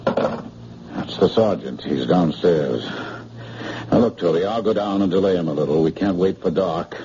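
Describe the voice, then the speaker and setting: An actor playing a calm, brisk hospital doctor in a 1950s radio drama. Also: male voice, sits low, slightly rough, exaggerated voice. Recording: dull lo-fi old radio broadcast.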